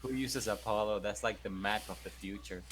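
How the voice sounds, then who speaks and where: A man's voice: lowish voice, fairly quick, fairly bright tone, a little hiss or hum. A man playing in a tabletop role-playing game, chatting casually, joking and swearing. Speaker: player.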